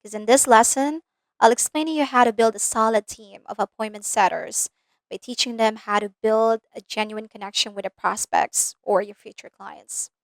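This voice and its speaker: A woman's voice: a little high, steady tone, normal speed, no room sound. Filipino-accented woman teaching with a dry, close sound. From Philippines. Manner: direct, asks the viewer checking questions. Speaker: business coach. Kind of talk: scripted instructional video.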